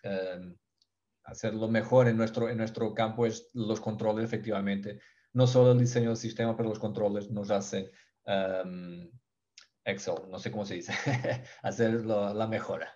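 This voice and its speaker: Portuguese man, mellow muffled voice. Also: lowish voice, fairly even intonation. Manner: halting, searches for words, many restarts. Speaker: ventilation specialist. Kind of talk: technical webinar presentation.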